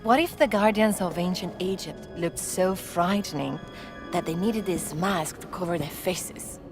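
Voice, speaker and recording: A woman's voice: on the low side, a little slow, music in the background. An actress playing an inquisitive, determined young woman in an adventure game. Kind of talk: cinematic adventure game acting.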